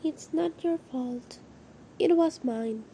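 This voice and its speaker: A woman acting a grieving, apologetic farmer and nanny in an amateur radio drama, speaking haltingly. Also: high-pitched, warm tone. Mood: self-blaming. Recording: muffled, with clear background noise.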